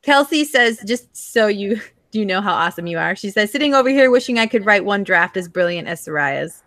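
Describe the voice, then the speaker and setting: A woman's voice: medium pitch, lively intonation, a little fast, a bit bright, no echo, studio quality. An American woman hosting a podcast, asking questions in an informal, chatty way. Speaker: podcast host. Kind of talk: casual interview chat.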